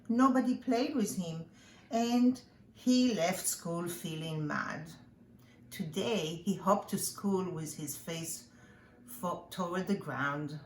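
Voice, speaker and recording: Woman reading to young children, echoey room. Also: lowish voice. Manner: simple, expressive storytelling for young children. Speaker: pre-K teacher reading aloud. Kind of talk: children's storybook read-aloud. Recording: echoey room with faint background noise.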